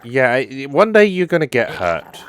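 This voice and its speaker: Casual gamer guy with lively pitch. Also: a little slow. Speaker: gaming streamer. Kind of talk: casual gameplay commentary. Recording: game music faintly behind. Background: game music.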